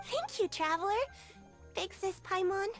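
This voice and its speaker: A woman's voice: very high-pitched, animated, a little slow, music in the background. A woman voicing a sweet, childlike magical creature in a video game, innocent, earnest and a little hesitant. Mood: cheerful, eager.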